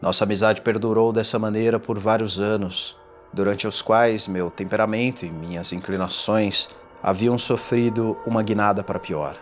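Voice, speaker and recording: A man playing a tormented condemned man in a gothic horror audio drama, confessing in a formal, theatrical and deliberate delivery. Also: lowish voice, steady tone, natural voice. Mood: wistful, grave. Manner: theatrical, deliberate, ornate diction. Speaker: narrator. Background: music.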